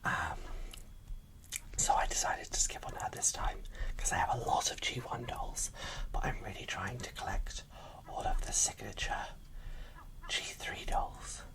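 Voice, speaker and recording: An ASMR creator giving gentle, unhurried unboxing commentary in a breathy, enthusiastic whisper. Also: low voice, poor audio.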